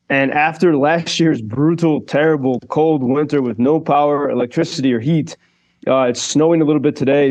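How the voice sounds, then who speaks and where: A man's voice: medium pitch, a little mellow. A man reporting live for a radio show, talking thoughtfully with many fillers and restarts. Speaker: radio correspondent. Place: Kyiv.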